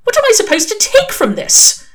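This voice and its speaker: A woman's voice: extremely high pitch, wildly swooping pitch, normal speed, voice pushed up, full character voice. Aziraphale, a woman doing a fussy prim angel. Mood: pleading. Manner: emphatic, fretful pleading. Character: fussy, earnest male angel, prim and well-spoken.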